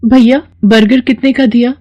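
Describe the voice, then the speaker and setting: A woman's voice: a little high, steady tone, moderate pace, warm tone, highs cut off. A young woman narrating a storybook cartoon earnestly and voicing its heroine. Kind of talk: storybook narration with character voices.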